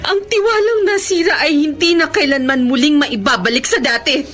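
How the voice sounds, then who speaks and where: A betrayed wife and mother acted by a woman in a radio drama, wounded yet resolute. Her fairly high voice is firm and emotional, with music clearly behind it. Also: normal speed, lightly acted. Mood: hurt, unforgiving. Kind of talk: Philippine radio drama acting.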